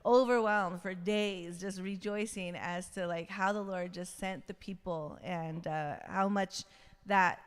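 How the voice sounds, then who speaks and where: A woman hosting a casual livestream, talking in an effusive, halting way. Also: lowish voice, steady tone, clear, resonant tone. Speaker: livestream host.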